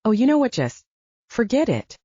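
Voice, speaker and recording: A woman's voice: fairly high pitch, very animated, normal speed, dark tone, breathy edge, no echo, muffled recording, voice pushed up. A woman voicing a proud, shaken young female army medic in an audiobook, snappy, sweary and frustrated. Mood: frustrated, tearful.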